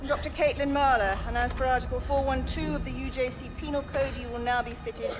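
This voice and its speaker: A woman playing a cold, clinical prison doctor in a video game, formal, brisk and detached. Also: high voice, fairly even intonation, over music, natural voice.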